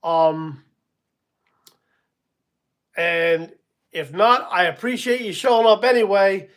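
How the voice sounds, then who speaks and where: A man's voice: sits high, slow pace, a bit bright. A man in his sixties hosting a casual live stream, rambling a little, chuckling and answering viewers by name. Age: 60s. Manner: casual, rambling, chuckles at himself, many ums. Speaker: livestream host.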